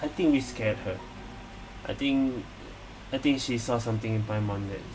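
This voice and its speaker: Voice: mid-range voice, normal speed, noisy background. A gaming video host giving casual let's-play commentary, hesitant and often repeating phrases while reacting. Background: game music.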